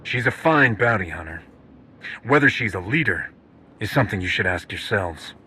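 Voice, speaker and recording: Blunt no-nonsense space hero, very bright punchy voice, bit of noise behind. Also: male voice, lowish voice, animated, normal speed. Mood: firm, brisk. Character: brisk, no-nonsense roguish male hero in command. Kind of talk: scripted video game acting.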